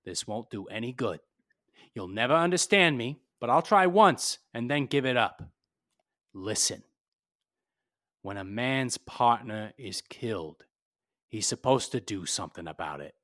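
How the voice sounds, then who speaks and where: A man's voice: mid-range voice, expressive, measured pace, long pauses, a bit bright. Man acting a hard-boiled, cynical radio detective. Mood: grimly resolved. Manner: clipped, blunt, accusing. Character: hard-boiled cynical male private detective, tough and unsentimental.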